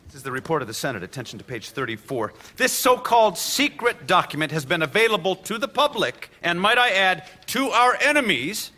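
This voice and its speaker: A man's voice: sits high, very animated, brisk, few pauses, sharp tone, heavily acted. An actor playing a male newspaper lawyer who argues formally, with small hesitations, in an audio drama. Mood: persuasive. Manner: formal courtroom argument with hesitations.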